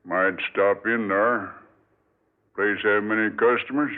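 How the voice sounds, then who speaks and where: Slow-spoken, mysterious old hitchhiker. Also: male voice, fairly low pitch, fairly even intonation. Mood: cryptic, reticent. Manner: cryptic, terse, deliberate. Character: mysterious, cryptic aged male hitchhiker, sly and unhurried. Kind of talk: 1940s radio drama acting. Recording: tinny old radio recording.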